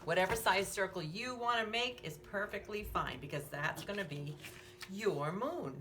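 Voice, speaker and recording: A woman presents a children's storytime in a sing-song, lively voice that rises and falls widely. She takes her time and speaks straight to young listeners. The recording is roomy and echoey, picked up some way from the mic. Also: medium pitch, normal speed. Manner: sing-song, simple questions addressed to small children. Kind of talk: read-aloud storytime and craft lesson for young children. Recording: echoey room, distant mic, faint noise.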